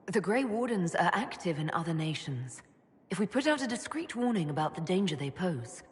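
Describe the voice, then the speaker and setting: Authoritative, composed Inquisitor. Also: female voice, on the low side, sing-song, breathy edge, exaggerated voice. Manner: authoritative, measured, formal. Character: commanding female Inquisitor, composed, resolute leader. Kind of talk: video game voice acting.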